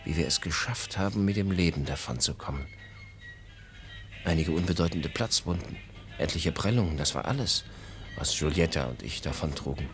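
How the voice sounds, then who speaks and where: A very deep male voice narrates a radio adventure over music. He is composed and measured, telling his story in a literary manner. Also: lively intonation, fairly bright tone. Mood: reflective. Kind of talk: radio play scripted narration.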